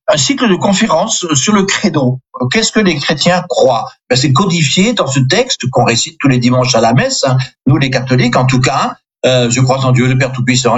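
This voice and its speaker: A man's voice: medium pitch, slightly rough, lo-fi sound. An older man, a priest and native Alsatian speaker, giving rambling, self-deprecating interview answers full of superlatives.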